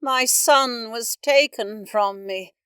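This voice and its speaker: A woman plays a crowned, solemn Fate in a verse play. She speaks with stately slowness in a smooth, resonant voice, recorded dry. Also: on the high side, sharp tone, no room sound. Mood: mournful. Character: solemn, regal crowned Fate, grieving shade.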